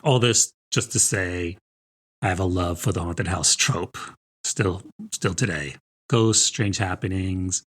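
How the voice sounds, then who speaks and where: A middle-aged American man talks books as a podcast co-host. His voice sits on the low side with a husky, rough edge. The delivery is informal and chatty, often checking in with a quick rhetorical question. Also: a bit bright. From New Jersey, United States. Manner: informal, chatty, peppered with rhetorical "right?" and "I mean". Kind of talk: casual conversational book chat.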